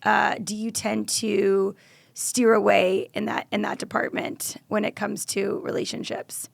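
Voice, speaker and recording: A woman's voice: medium pitch, level tone, a little slow, gravelly, no room sound. A woman in her late thirties hosting a podcast, upbeat and encouraging as she asks questions. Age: 30s. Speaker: podcast host. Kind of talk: casual interview chat.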